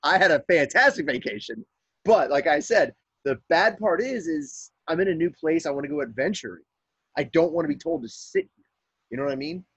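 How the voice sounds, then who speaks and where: A man's voice: fairly high pitch, very animated, a little fast, creaky, a bit bright, slightly rough, no room sound, muffled recording. A man in casual podcast chat, joking crudely, calling in from a camper trailer. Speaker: podcast guest.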